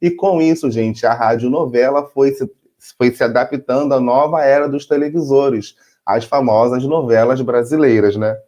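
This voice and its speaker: A man's voice: medium pitch, normal speed, dark tone. Brazilian guy cohost, mid voice, jokey, does little sound effects. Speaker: co-host. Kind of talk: casual chat.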